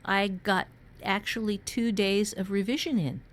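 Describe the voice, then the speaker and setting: A woman's voice: medium pitch, fairly even intonation, measured pace. A woman, an author and writing teacher, chatting casually as a podcast co-host. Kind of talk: casual conversational chat.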